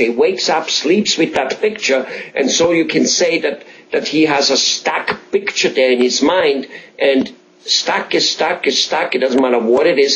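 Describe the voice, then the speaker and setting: A man with an Austrian accent, a native German speaker, interviewing conversationally in a halting, repetitive, emphatic way with rambling examples. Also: on the high side, lo-fi sound. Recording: faint background noise.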